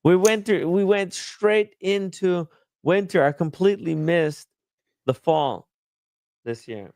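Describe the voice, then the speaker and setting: A man hosting a casual livestream chat, relaxed and chatty, laughing easily. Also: high-pitched, takes it slowly, gravelly, no room sound, clean studio sound. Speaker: host.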